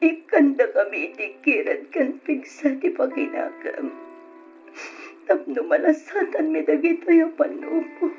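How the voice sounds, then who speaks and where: A woman's voice: sits high, lively intonation, unhurried. An actress in an Ilocano radio drama playing a distraught, pleading mother with a tearful delivery. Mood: pleading prayer. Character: distraught, pleading, prayerful mother of a troubled daughter. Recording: dull radio drama recording over music.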